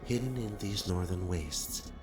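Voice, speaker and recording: A calm artificial intelligence guiding a mission in a video game. The voice is deep, formal and authoritative. Music runs clearly behind it. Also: male voice, rough recording. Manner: measured, formal. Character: calm, authoritative male AI guide briefing an agent. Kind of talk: scripted game briefing.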